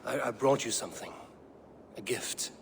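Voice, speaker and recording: Male actor as cunning disguised god, game drama. Measured, silver-tongued, formal; mid-pitched, clear noise behind. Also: lightly acted. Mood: coaxing. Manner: measured, persuasive, formal archaic phrasing. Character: cunning, smooth-tongued disguised god scheming to steal mead. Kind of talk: dramatic video game acting.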